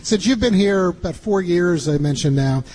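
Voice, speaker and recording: A man's voice: high voice, expressive, normal speed, darkish tone, slightly rough, rough recording. A man hosting a travel radio show, talking in an upbeat, promotional way with frequent fillers. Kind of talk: radio interview and show wrap-up.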